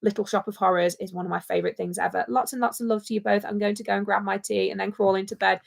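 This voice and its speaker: A woman co-hosts a relaxed livestream from home, sounding outspoken and passionate. She hammers her points home by repeating them, and her voice sits at an ordinary, middle pitch. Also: quick pace, vocal fry. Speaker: co-host. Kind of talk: casual livestream chat.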